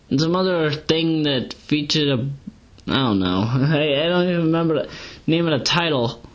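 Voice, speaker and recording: A person giving a casual, rambling review as an audio log, often repeating and correcting themself, recorded at home. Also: mid-range voice. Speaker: amateur online reviewer. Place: at home, moving between kitchen and bedroom. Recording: muffled, noisy home audio with fan. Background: fan running.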